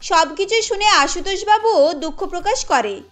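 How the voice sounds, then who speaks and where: A woman narrating a Bengali cartoon story in a steady, explanatory storytelling way. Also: extremely high pitch, moderate pace, faint music behind.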